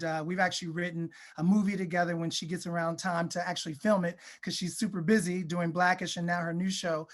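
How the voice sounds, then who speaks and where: A man's voice: fairly high pitch, fairly bright tone. An American man, a film director, answering interview questions in a conversational, anecdotal way.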